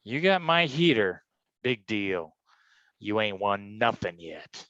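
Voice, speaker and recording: An actor playing a hard-boiled, blustering and rather dim private detective, talking tough slang in a comic noir staged reading. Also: male voice, lowish voice, big pitch swings, slow pace, a bit bright, no room sound, lo-fi sound, exaggerated voice. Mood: grudging swagger.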